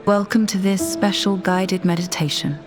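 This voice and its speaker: A woman narrating a scripted guided meditation in a measured, deliberate, soothing manner. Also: medium pitch, steady tone. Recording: voice over background music. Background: music.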